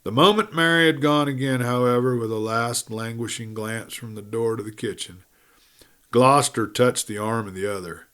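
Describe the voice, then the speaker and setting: A man's voice: mid-range voice, normal speed, fairly bright tone. A man reading a western adventure story as a dramatic audiobook narrator.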